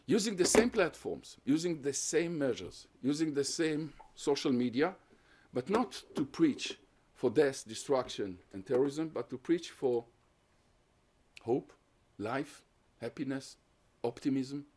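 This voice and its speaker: A man gives an academic talk in an even middle voice. He sets out his points one by one in a measured, explanatory way. He also takes questions from the audience. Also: medium pitch, husky. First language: Hungarian. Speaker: guest lecturer on terrorism research.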